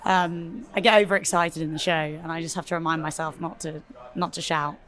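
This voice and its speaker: A young woman with a slightly husky voice, chatting casually about her theatre show with lots of fillers. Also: sits low, level tone, fairly quick, a bit bright. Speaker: theatre performer. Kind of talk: casual interview chat. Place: festival venue interview.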